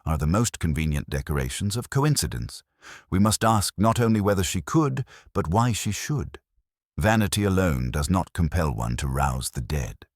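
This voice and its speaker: A man voicing a calm, shrewd, philosophical police inspector in a detective story, speaking in a measured, grave way. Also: sits low, dry recording, studio quality. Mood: calm, musing. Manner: measured, aphoristic, quietly grave. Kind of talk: detective audiobook character reading.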